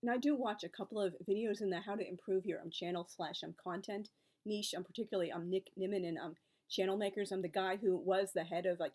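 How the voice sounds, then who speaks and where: A woman's voice: lowish voice, a little mellow. A woman in her forties talking through her own experiences as a book and author video creator in a casual, reflective way. She rambles along, stacking up asides and tangents, with a wry, exasperated edge when she turns to advice from others. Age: 40s. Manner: chatty and rambling, piling up asides. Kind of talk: casual reflective vlog talk.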